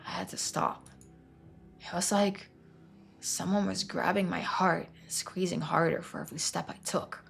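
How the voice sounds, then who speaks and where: Woman playing a haunted, hushed young man. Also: lowish voice, fairly even intonation, normal speed, creaky, a little hiss or hum. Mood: anxious, haunted.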